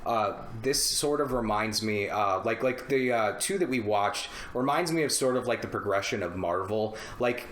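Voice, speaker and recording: A man's voice: fairly low pitch, normal speed, few pauses, fairly bright tone. A young man casually discussing films as a podcast host, conversational and prone to swearing. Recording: roomy, echoey indoor sound.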